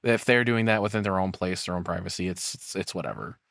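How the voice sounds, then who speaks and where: A man reacting casually off the cuff as a streamer, blunt and sweary, with halting phrasing. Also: low-pitched, expressive, creaky, fairly bright tone, dry recording, studio quality.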